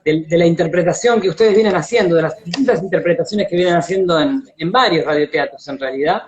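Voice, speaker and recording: Argentine interviewer on a dull internet call. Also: male voice, on the high side. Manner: halting, circling back over phrases. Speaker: interviewer hosting a live stream. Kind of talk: casual live-stream interview. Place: live video call on social media.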